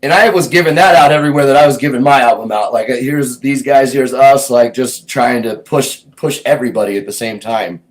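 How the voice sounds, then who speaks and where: A man's voice: mid-range voice, fairly quick, few pauses, poor audio. A man hosting a show, casually recounting his own past in a laid-back, meandering way, thinking aloud as he goes. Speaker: host recounting his music career. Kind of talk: casual personal storytelling.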